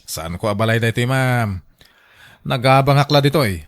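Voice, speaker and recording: A man's voice: medium pitch, expressive, moderate pace, no echo, clean studio sound, lightly acted. A man with an Ilocano accent acting as a polite, obliging traveller in a radio drama.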